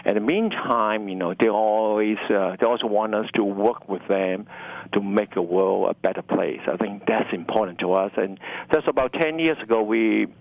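A middle-aged man with a Hong Kong accent, speaking in halting, fragmented phrases as an interview guest. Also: fairly low pitch, creaky, phone-quality sound. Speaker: university dining director, interview guest. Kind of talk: conversational radio interview.

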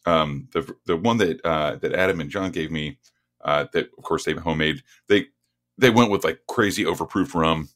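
A man co-hosts a podcast in a relaxed, joking manner. He pauses and restarts often. His voice is very low and deep. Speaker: podcast co-host. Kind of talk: casual podcast chat.

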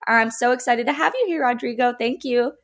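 A woman's voice: a little high, a little fast. Upbeat woman podcast host. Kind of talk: podcast hosting.

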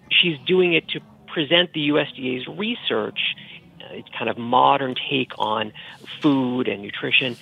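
Male interview guest, creaky and a bit husky, chatty professorial explainer, slightly dull recording. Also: medium pitch.

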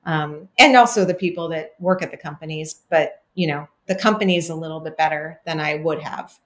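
Woman, podcast host, casual interviewer, rambling, filler-heavy, low pitched. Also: a little fast. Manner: chatty, rambling, many fillers.

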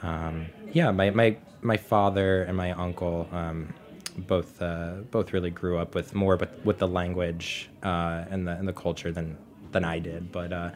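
An American man in his twenties from Florida, a guest chatting casually and haltingly in a radio studio. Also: low-pitched, little pitch movement. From Florida, USA. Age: 20s. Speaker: chef, interview guest. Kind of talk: casual chat.

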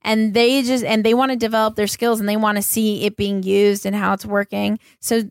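A woman's voice: medium pitch, level tone, brisk, no echo, clean studio sound. A woman with an American accent, hosting a casual interview in an enthusiastic, informal, chatty way. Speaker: podcast host.

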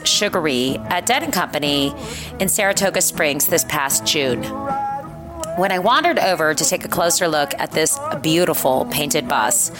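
A woman hosting a podcast, talking in an enthusiastic, gushing way. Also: lowish voice. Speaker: podcast host. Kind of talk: casual podcast chat.

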